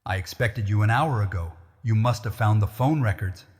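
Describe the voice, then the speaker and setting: A man voicing a calm, defiant female mastermind in a crime audiobook, conversational and cutting. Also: lowish voice, normal speed, in a large hall, natural voice. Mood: coolly defiant. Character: cool, defiant female murder mastermind, a widow.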